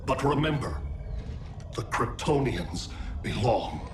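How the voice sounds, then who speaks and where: An actor playing a cold, calculating alien villain in a video game, measured, commanding and detached. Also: male voice, mid-range voice, very animated. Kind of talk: video game cutscene acting. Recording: echoey, distant, clear noise behind.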